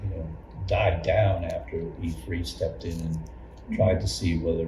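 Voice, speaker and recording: A man recalling church life in a casual group interview, haltingly rephrasing as he goes. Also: sits low, little pitch movement. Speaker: church member recalling past challenges. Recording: echoey room, distant mic, clear noise.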